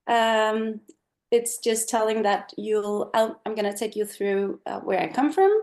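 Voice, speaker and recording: A woman's voice: mid-range voice, fairly even intonation, unhurried, a little mellow. A middle-aged woman from Denmark giving a presentation over a video call, speaking thoughtfully with many fillers. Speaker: guest speaker. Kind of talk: online presentation talk.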